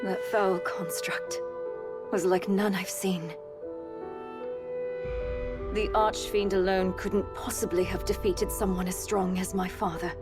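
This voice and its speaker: An actress playing a dignified, determined young warrior princess in a game cutscene, with formal, earnest delivery. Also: female voice, mid-range voice, natural voice. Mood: grieving, grave. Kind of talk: video game cutscene acting.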